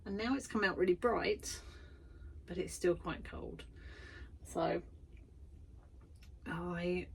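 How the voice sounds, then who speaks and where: A woman's voice: on the low side, darkish tone, slight background noise. Tired, chatty woman. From United Kingdom. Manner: chatty, self-deprecating, rambling with fillers. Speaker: vlogger and art workshop tutor. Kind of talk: casual chatty vlog. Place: her garden shed art studio.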